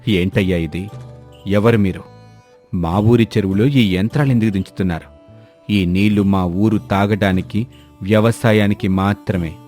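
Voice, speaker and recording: A man's voice: fairly low pitch, normal speed, a little mellow, faint music behind, close to an everyday voice. A man playing a worried, sorrowful village elder in a moral story. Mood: indignant. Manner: sorrowful, pleading.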